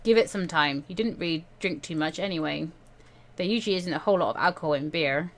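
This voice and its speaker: Woman acting out grown man, calm mentor, bossy, wry, coaxing, visual novel game character. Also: sits low, quick pace, pitched below the performer's own voice. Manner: coaxing, instructing.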